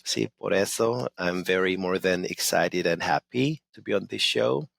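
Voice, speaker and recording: A middle-aged man with a Korean accent, speaking in a somewhat low voice. He talks as an interview guest, eager and loose, stringing his thoughts together with plenty of fillers. The sound is dry and close. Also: normal speed, gravelly, a bit bright, no room sound. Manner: enthusiastic, loose, full of fillers like "you know" and "like". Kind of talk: casual interview chat.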